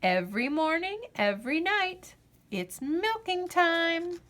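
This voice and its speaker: Slow, lilting woman reading a children's storybook. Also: very high-pitched, very animated, a bit bright. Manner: deliberate phrasing with drawn-out refrains. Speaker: storyteller reading a children's picture book aloud. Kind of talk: expressive children's read-aloud narration.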